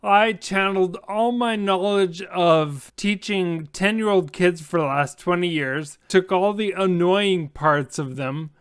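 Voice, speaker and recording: A middle-aged man hosting a casual roundtable chat among game masters, asking questions in a measured way. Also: high-pitched, smooth.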